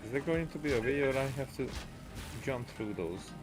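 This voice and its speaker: A man doing casual gameplay commentary, reading game text aloud in a halting way and muttering short reactions. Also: mid-range voice, animated. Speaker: gaming video host. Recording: game music behind the voice. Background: video game music.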